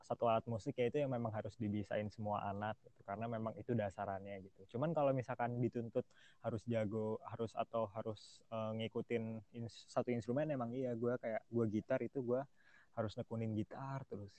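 A man's voice: medium pitch, steady tone, a little fast, mellow, dry recording. A teenage man with a Jakarta Indonesian accent chatting casually as a podcast guest, slangy and self-deprecating. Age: teens. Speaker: podcast guest, music student. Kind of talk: casual chat. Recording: dull, muffled lo-fi audio.

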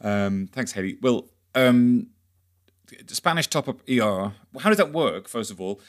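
A man hosting a podcast, casually moderating an interview in a wry, halting way. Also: medium pitch, lively intonation. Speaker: podcast host.

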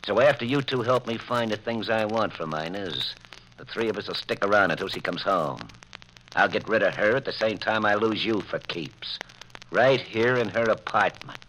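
A man's voice: on the low side, moderate pace, fairly bright tone, close to an everyday voice. An actor playing a menacing, double-crossing gangster with slangy tough-guy talk in a 1940s radio drama. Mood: smug menace. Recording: noisy, crackly old radio recording.

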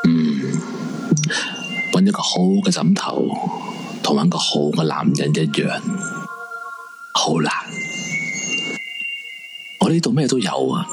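A sly, mysterious Hong Kong shopkeeper, acted by a man in a Cantonese radio drama. He has a low voice and a slow, teasing delivery that swings widely in tone, with music behind him. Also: big pitch swings, full character voice. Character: mysterious, sly male shopkeeper peddling strange pillows. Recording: music bed under the voice.

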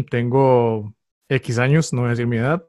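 A man's voice: medium pitch, slow, darkish tone, dry recording, clean studio sound. A man with a Costa Rican Spanish accent, a podcast guest chatting in a friendly, playful way. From Costa Rica. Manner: friendly, playful, laughs easily. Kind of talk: casual chat.

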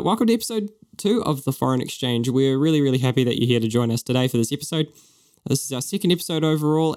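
A man's voice: mid-range voice, darkish tone. A young man from New Zealand with a New Zealand accent, co-hosting a podcast in a chatty, upbeat, joking way. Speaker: podcast co-host. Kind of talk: casual chat.

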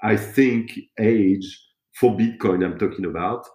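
A man talking casually in a conversation, halting with stammered restarts. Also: on the low side, warm tone. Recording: roomy echo.

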